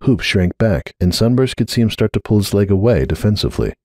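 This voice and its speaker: Male storyteller, fairly low register, dry studio sound, expressive scripted narration for fiction. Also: fairly quick, no room sound.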